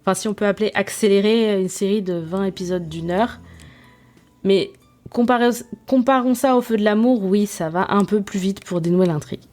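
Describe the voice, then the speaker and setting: Young woman podcast host, mellow tone, chatty and self-mocking, faint music behind. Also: medium pitch, normal speed. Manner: chatty, self-mocking, many fillers and restarts. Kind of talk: casual podcast review.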